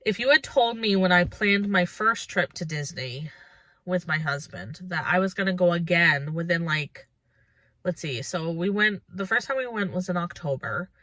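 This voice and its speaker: A woman's voice: fairly low pitch, expressive, moderate pace, a bit bright, dry recording. A woman of about thirty chatting casually and reflectively to her viewers as a lifestyle vlogger, informal and thinking aloud.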